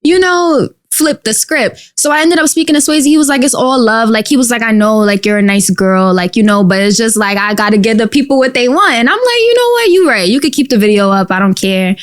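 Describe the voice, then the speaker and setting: A young woman from Queens talks in a New York accent, her voice sitting a bit high. She chats loosely and animatedly, full of "like" and "you know". Close studio mic in a small podcast room. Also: brisk, few pauses. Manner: animated, slangy, lots of likes and you-knows. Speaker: guest rapper. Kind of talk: casual podcast interview. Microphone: studio mic on boom arm.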